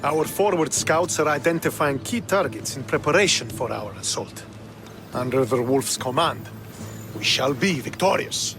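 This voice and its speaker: An actor playing a stern, proud young Spartan military commander in a video game, speaking formally and with earnest authority. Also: male voice, a little high, moderate pace, lightly acted. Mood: proud, devoted. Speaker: video game character, army officer. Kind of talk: dramatic video game cutscene acting. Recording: game soundtrack music behind the voice.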